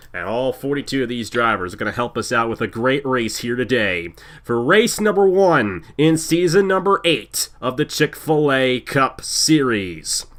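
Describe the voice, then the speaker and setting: A man with a congested voice from a cold, calling a simulated stock car race as play-by-play host in an energetic, sing-song announcer style. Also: mid-range voice. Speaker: play-by-play commentator. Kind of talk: sim racing broadcast commentary.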